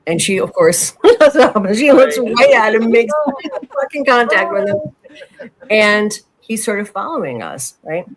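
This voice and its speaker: A woman from Chicago hosting a podcast, who reacts eagerly and tells her own story in an animated way. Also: medium pitch, unhurried, a crowd in the background. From Chicago, USA. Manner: animated, exclaims and reacts often. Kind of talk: casual chat and personal anecdote.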